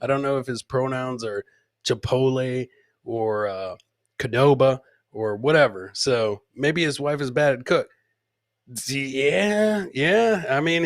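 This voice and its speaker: A man's voice: medium pitch, dry recording, clean studio sound. A man hosting a comedy podcast, chatting casually and bantering with viewers in informal, profane language. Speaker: podcast host. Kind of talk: casual comedic livestream chat.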